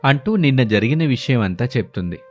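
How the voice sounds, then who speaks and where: A man's voice: medium pitch, animated, fairly quick. Man narrating a moral tale over music. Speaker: storyteller narrator. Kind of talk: scripted moral story narration.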